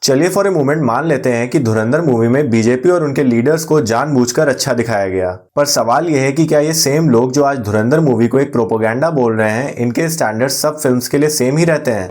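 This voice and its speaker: A man's voice: medium pitch, a little fast, hardly any pauses, a little mellow. A man with an Indian accent delivering scripted opinion commentary as a video presenter, addressing viewers directly with rhetorical questions.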